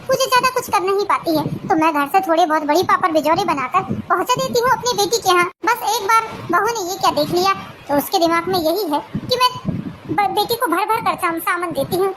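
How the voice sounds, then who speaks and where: A woman's voice: very high-pitched, expressive, a little fast, background noise. A woman voicing an aggrieved older mother-in-law in a cartoon family drama, plaintive and self-justifying.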